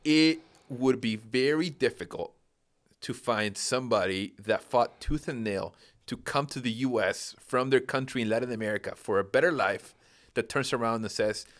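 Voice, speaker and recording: A man in his thirties, originally from Peru, speaking in a steady mid-range voice. He explains earnestly and checks in with rhetorical questions as he talks, the pitch sitting right in the middle for a man. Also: moderate pace. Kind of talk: casual conversational explaining.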